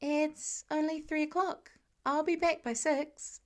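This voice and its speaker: A woman's voice: high-pitched, steady tone, takes it slowly, voice pushed up. A woman voicing an eager, sheepish teenage boy superhero in a storybook reading, casual and hesitant.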